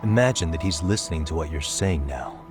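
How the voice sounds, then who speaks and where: A probing detective type in a video game drama. His voice is low and deliberate, calm yet insistent, with a clear score playing underneath. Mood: pressing. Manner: pressing, deliberate, serious. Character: dogged, probing male investigator, calm but insistent. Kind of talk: dramatic game cutscene acting. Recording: dramatic music behind.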